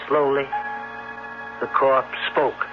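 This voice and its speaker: An actor playing a shrewd townsman telling a grim tale in a deliberate, suspenseful old-time radio style. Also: medium pitch, level tone, soft background music, lightly acted. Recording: dull old radio recording.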